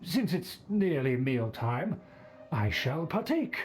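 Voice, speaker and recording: Snooty game nobleman, kinda high voice, very swoopy and pompous. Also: big pitch swings, slow pace, soft background music. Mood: flustered delight. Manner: pompous, affected formal diction. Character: haughty, pompous male aristocrat, vain and mannered. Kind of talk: video game character acting.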